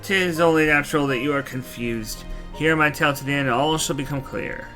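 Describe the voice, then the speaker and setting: A man's voice: a little high, a little fast, sharp tone. A man voicing a courtly old knight reborn as a machine, telling his tale in formal, archaic, solemn speech for a fantasy game. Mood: courtly, patient. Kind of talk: fantasy game character dialogue. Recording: music behind the voice. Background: game music.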